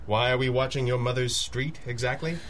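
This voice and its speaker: A man's voice: medium pitch, steady tone, fairly quick, a bit bright, a little hiss or hum, lightly acted. An actor playing a composed male masked superhero in a radio drama, speaking in a dry, teasing, articulate way. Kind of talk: old-time radio drama acting.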